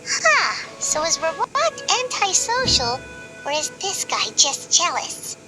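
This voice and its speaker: A small, perky video game companion with an extremely high, lively voice. The delivery is chirpy, polite and childlike, full of curiosity, with loud music underneath. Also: female voice, slow, full character voice, rough recording. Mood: curious. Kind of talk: scripted video game voice acting.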